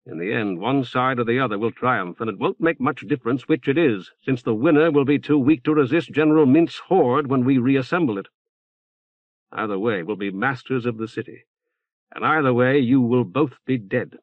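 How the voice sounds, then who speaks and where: A calm, articulate leader arguing formally in an audiobook novel, voiced by a male reader. He speaks in a reasoned, orderly and firm way. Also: medium pitch, moderate pace, no room sound, narrow, tinny audio, natural voice.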